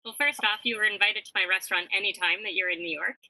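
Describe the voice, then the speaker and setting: A woman with a very bright, forceful voice testifies conversationally as a restaurant owner. She is direct and plain-spoken, and the sound is dry and close. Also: medium pitch, fast, no room sound, low-quality recording.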